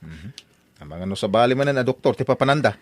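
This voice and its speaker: A man's voice: medium pitch, lively intonation, quick pace, dry recording, natural voice. A man playing a concerned, practical companion to a young woman in an Ilocano comedy audio drama. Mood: inquiring, concerned.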